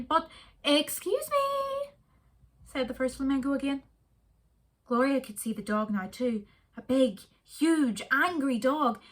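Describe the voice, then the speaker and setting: A woman reading a children's story aloud in an expressive, dramatic way with deliberate pauses. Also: high-pitched.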